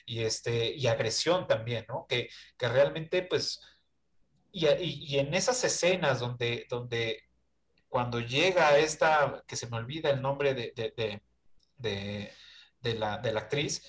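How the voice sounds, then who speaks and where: A man's voice: mid-range voice, slow pace. A man with a Mexican accent in a casual film discussion, hesitant, searching for words and often seeking agreement.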